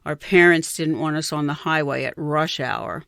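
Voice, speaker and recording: A woman giving an expressive scripted reading of a personal short story. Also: low voice, normal speed, gravelly, no room sound, studio quality. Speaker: writer or reader of a short story.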